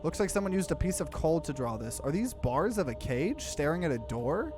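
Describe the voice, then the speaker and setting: Animated high-voiced man playing a game. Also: moderate pace, over music. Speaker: gaming let's player. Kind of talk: reading game narration aloud with casual reactions.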